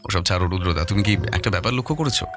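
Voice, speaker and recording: A man's voice: low voice, expressive, very fast, over music, pitched below the performer's own voice. A man voicing a curious, eager young sidekick of a detective in an audio story, inquisitive and deferential. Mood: wary, hesitant.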